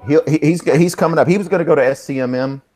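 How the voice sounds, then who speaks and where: A man's voice: fairly high pitch, racing, darkish tone. A man in his fifties hosting a casual live stream chat, rambling, swearing and losing his place. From Texas. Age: 50s. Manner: rambling, swears, loses track. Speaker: host.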